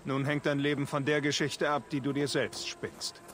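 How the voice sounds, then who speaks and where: An actor playing a stern, commanding Norse warrior who speaks solemnly and deliberately in a video game. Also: male voice, fairly high pitch, flat delivery, fairly bright tone, a little hiss or hum, natural voice. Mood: grave, resolute. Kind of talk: dramatic video game dialogue.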